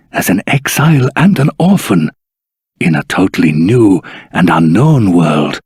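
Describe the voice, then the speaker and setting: A very raspy old grandfather storyteller. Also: mid-range voice, no echo, clean studio sound, exaggerated voice. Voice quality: husky, raspy. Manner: formal, measured, dramatic pauses. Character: doddering old grandfather of a dragon-folk race, solemn storyteller. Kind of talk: theatrical storytelling for a fantasy video game.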